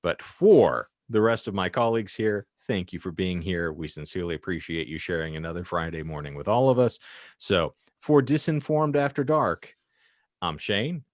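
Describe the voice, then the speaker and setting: A man's voice: deep voice, moderate pace, no echo, clean studio sound. A man co-hosting a casual panel podcast, talking in wordy, florid turns of phrase. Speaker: podcast co-host.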